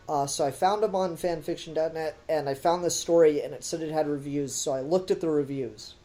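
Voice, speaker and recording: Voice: medium pitch, warm tone. A podcast co-host giving a casual, blunt and emphatic critique in conversation. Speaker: podcast co-host reviewing an audio drama.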